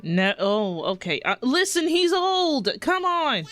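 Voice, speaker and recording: A woman's voice: sits high, very animated, slow, a bit bright, faint music behind. A woman streamer giving casual reaction commentary on a game, thinking aloud in short murmured remarks.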